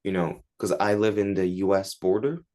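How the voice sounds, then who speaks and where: A man's voice: low voice, flat delivery, normal speed, darkish tone. A teenage man from Turkey, answering interview questions in casual, chatty English. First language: Turkish. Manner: chatty, many likes and you-knows. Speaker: guest.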